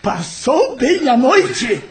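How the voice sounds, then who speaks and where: A man playing a sneering, imperious evil spirit who bullies and taunts, with a creaky voice and a Brazilian accent, in an audio drama. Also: very high-pitched, sing-song, extremely slow, heavily acted. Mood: gloating, taunting. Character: sinister mocking male evil spirit, bullying gang master. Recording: music behind the voice.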